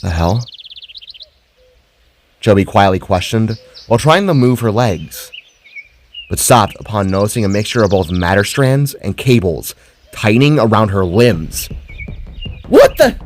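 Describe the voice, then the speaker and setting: A man's voice: fairly low pitch, expressive, moderate pace, a little hiss or hum. A man reading scripted fantasy narration in a dramatic storytelling style. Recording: music behind the voice. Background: music.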